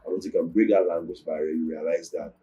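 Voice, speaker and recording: Young Nigerian man, Yoruba native, low and dark voice, measured and earnest interview guest. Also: steady tone, dull audio, faint music behind. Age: young adult. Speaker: guest musician. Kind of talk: interview answers.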